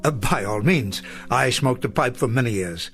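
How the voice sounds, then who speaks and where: A man's voice: medium pitch, animated, moderate pace, fairly bright tone. Elderly male professor, old-fashioned, courtly, genial, rambling, radio drama, music behind. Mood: genial, polite. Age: older. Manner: wordy, courtly, chuckling, hesitant. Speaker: veteran teacher.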